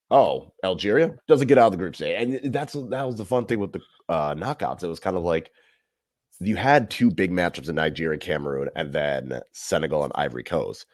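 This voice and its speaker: A man co-hosting a sports podcast in casual chat, relaxed and measured, acting out reactions as quotes. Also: low voice, no room sound, clean studio sound. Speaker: podcast co-host.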